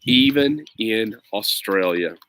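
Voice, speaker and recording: A man's voice: fairly low pitch, expressive, slow, a bit bright, slight background noise, voice pushed down. Man reading as a grumpy, put-upon young storybook boy. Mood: grumpy, complaining. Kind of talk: storybook read-aloud. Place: outdoors on a lawn.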